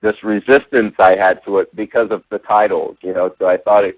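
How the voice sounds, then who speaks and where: A Jamaica-born man with a lowish voice tells his spiritual story as an interview guest. He speaks thoughtfully, restarting phrases and leaning on "you know". The sound is a narrow, phone-like line with no room echo. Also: steady tone, a little fast. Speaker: interview guest, religious science practitioner. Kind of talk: reflective personal storytelling. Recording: tinny phone-like line, dry.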